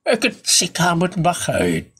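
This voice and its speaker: A man's voice: high-pitched, huge pitch swings, slow, a bit bright, breathy edge, heavily acted. A man with an Ilocano accent playing an argumentative, gossiping rural worker in a comedy audio drama. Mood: defensive, irritated. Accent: Ilocano, northern Philippines. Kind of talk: comedy radio drama dialogue.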